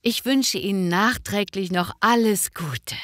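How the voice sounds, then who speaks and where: A woman playing a curious, polite office colleague in a scripted language-lesson dialogue, with clear enunciation and an interested manner. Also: medium pitch, measured pace, dry recording, studio quality, close to an everyday voice. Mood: congratulating.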